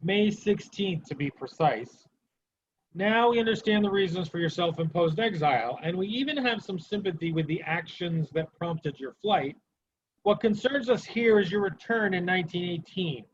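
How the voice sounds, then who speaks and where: A man's voice: sits high, animated, moderate pace, slight background noise. A man playing a stern, sardonic Soviet prosecutor in an amateur dramatic reading, questioning formally and curtly. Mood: probing, disapproving. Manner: formal, clipped interrogation. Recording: dull, muffled lo-fi recording.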